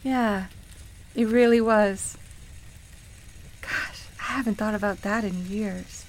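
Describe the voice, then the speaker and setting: A woman's voice: medium pitch, fairly even intonation, takes it slowly, low-quality recording. An actress in a radio play playing a sincere, wistful woman who reminisces hesitantly about her life. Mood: fond, nostalgic. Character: wistful woman grieving her beloved grandmother at Christmas. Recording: music playing behind the voice. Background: music.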